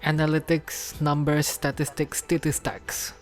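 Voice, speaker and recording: A man's voice: on the high side, monotone, unhurried, soft background music. A man from Indonesia with a mixed Indonesian-Singaporean accent, co-hosting an unscripted podcast chat in a loose, joking way with lots of fillers. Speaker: podcast co-host.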